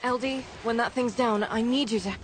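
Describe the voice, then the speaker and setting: Actress as a commanding high-voiced rebel leader. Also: female voice, steady tone, normal speed, close to an everyday voice. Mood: urgent, commanding. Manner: commanding, dry. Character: tough, commanding female resistance leader. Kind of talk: video game mission briefing.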